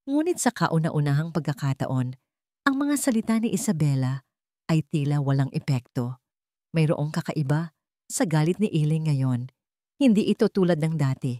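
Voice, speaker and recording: A woman narrating a scripted dramatic story in a solemn, deliberate manner. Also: low-pitched, expressive, dark tone, no room sound, clean studio sound.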